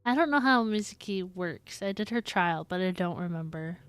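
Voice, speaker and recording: A woman chatting casually on a live game stream in an offhand, halting manner. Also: fairly low pitch, lively intonation, clear, resonant tone, no room sound. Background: faint game music.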